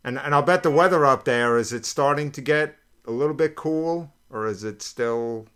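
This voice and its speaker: Friendly man with a smooth voice. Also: medium pitch, normal speed. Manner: friendly, relaxed, with small stammers. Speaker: interviewer. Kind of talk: casual interview.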